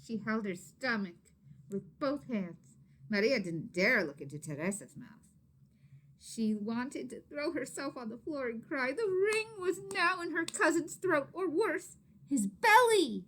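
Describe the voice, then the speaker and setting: A woman reading a story aloud to children expressively, with dramatic emphasis and hushed asides to her listeners. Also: high voice, lively intonation, measured pace. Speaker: teacher or reader of a children's story.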